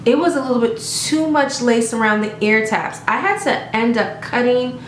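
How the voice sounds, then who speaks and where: A chatty, upbeat woman with a slightly high voice talks to her viewers in a casual home review. The room around her is roomy and echoey, with a faint hiss underneath. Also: normal speed. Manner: chatty and upbeat, often addressing viewers as "you guys" and asking "okay?". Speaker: beauty vlogger reviewing a wig. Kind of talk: casual product review vlog. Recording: roomy echo.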